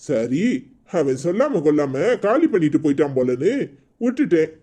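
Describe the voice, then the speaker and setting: A man's voice: fairly high pitch, big pitch swings, normal speed, warm tone. A man voicing a blunt, indignant older village man, scolding and exclaiming in a cartoon drama. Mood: matter-of-fact.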